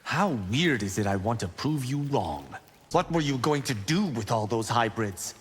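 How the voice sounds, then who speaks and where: A man's voice: medium pitch, lively intonation, moderate pace, in a large hall, a little hiss or hum, lightly acted. A man playing a cocky, wisecracking young monk fighter in a video game, confident and bantering. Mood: defiant. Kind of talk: video game character acting.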